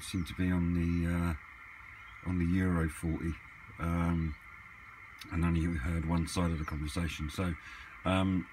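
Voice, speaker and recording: A man chatting casually and off the cuff, hesitant as he thinks aloud in a hobby vlog. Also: deep voice. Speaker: hobbyist vlogger. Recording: clearly noisy amateur camera recording.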